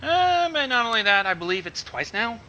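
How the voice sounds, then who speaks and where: A man joining a casual reading as guest, reacting excitably with laughs and commentary, heard over a lo-fi voice call. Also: extremely high pitch, fairly quick, crowd noise. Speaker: co-host guest.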